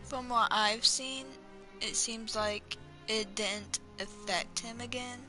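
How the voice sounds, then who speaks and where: A woman giving casual playthrough commentary, thinking aloud haltingly over game music. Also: fairly high pitch, steady tone. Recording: game music faintly behind the voice.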